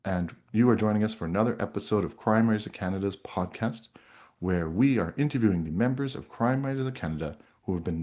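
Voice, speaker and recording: A man hosting a podcast interview, moving between introductions and conversational questions. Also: low voice.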